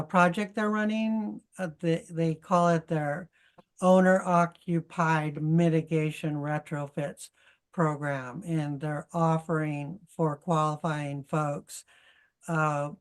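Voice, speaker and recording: A middle-aged woman with a low voice introduces a speaker on a video call. She talks informally and haltingly, correcting herself as she goes, like a host working loosely from notes. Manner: halting, self-correcting, conversational.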